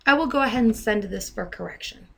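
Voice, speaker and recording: Higher-pitched woman giving a software demo. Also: expressive, fast, gravelly, breathy edge. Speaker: software trainer giving a demonstration. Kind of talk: instructional screen demo narration with dictation. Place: voice-over to a screen recording.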